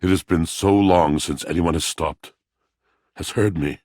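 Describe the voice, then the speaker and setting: A man voicing a brooding, lovelorn, melodramatic video game character, speaking in a grandiose, poetic, mournful way with a gravelly voice. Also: low voice, a little slow, a little mellow, no echo, clean studio sound.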